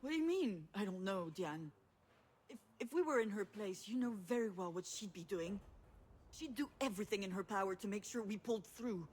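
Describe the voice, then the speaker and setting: A woman's voice: medium pitch, lively intonation, brisk, darkish tone, breathy edge. An actress playing a headstrong, combative and loyal adult woman, speaking bluntly and insistently in a naturalistic video game drama. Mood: urgent, insistent.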